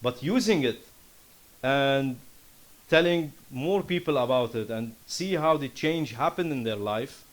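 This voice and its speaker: A man from Syria with a Lebanese accent giving an earnest, impassioned startup pitch and answering questions. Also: animated, unhurried. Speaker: startup founder presenting. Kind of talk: conference pitch and Q&A.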